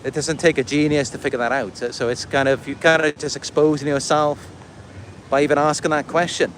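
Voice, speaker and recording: Higher-voiced Welsh man, rambling. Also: steady tone, brisk, a bit bright, a little hiss or hum. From Wales. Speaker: livestream host and content creator. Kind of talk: casual livestream monologue, answering viewer comments.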